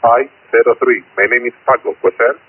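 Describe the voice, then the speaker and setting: A man's voice: medium pitch, steady tone, fairly quick. A man making a brief ham radio call, heard through a radio transmission. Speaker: amateur radio operator. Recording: narrow, faintly hissy radio link.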